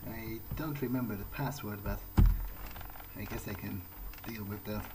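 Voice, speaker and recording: A man's voice: lowish voice, heavy background noise. A man giving in-character video game commentary, thinking aloud in hesitant, broken phrases. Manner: hesitant, halting musing in short broken phrases. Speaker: let's player speaking in character. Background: video game music.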